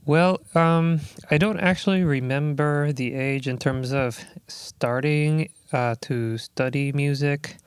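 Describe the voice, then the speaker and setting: A man's voice: on the high side, steady tone, slow pace, hardly any pauses, clean tone, dry recording. A man in his thirties from Puerto Rico, a musician speaking as a guest in a recording studio, thoughtful and reflective with frequent fillers. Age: 30s. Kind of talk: casual interview talk.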